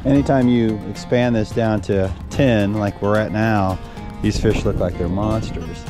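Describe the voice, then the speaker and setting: A man's voice: fairly low pitch, unhurried, over music. A middle-aged man chatting casually on a fishing boat out on a lake, explaining his rod and reacting to big catches. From American South. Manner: relaxed, chatty, excited over big fish. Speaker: fishing guide and rod maker. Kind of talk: casual outdoor fishing vlog. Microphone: action camera on the boat.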